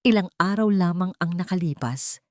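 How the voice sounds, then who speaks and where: Female Filipino narrator for dramatic romance fiction. Slightly low register, solemn and emphatic delivery, very dry studio-like sound. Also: lively intonation, normal speed, no room sound. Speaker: storyteller.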